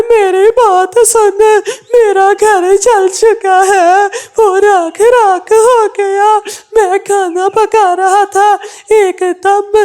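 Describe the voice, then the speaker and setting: A woman voicing a mocking, mischievous male crow in a children's cartoon, with a taunting, drawn-out delivery. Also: extremely high pitch, darkish tone, full character voice. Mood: fake pleading. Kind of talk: Hindi kids' cartoon dubbing.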